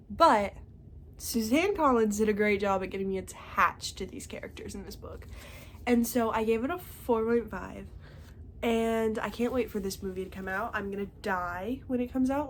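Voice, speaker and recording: A woman's voice: on the high side, a little hiss or hum. A young woman with a congested voice chatting casually about the books she read, often checking in with "okay?". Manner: chatty, tags remarks with "okay?". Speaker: book reviewer. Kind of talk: casual chat.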